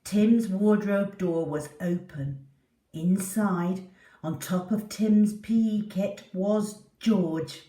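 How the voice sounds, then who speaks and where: A woman's voice: medium pitch, takes it slowly, warm tone. A woman reading a children's story aloud with theatrical, dramatic delivery. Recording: echoey room, distant from the mic.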